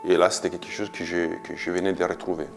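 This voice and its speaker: A man's voice: sits low, level tone, quick pace, faint music behind. A man, a sculptor speaking French in a documentary interview, thoughtful and hesitant, often repeating words as he searches for them. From Italy. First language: Italian.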